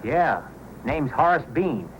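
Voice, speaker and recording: An actor playing a grudging, folksy frontier local in old-time radio style. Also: male voice, fairly high pitch, big pitch swings, slow, muffled recording. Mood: grumbling. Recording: noisy old radio recording.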